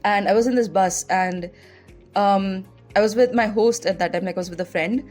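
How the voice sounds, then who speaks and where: A woman's voice: medium pitch, moderate pace, darkish tone, faint music behind. A young woman from India with an Indian English accent, hosting a podcast and telling personal stories in a chatty way full of "like" fillers. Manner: chatty, heavy use of "like" fillers. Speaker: podcast host. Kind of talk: casual personal storytelling.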